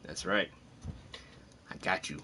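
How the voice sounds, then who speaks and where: A man's voice: takes it slowly, sharp tone, a little hiss or hum. A man casually commentating on his live game stream, chatty and self-deprecating, thinking aloud as he plays.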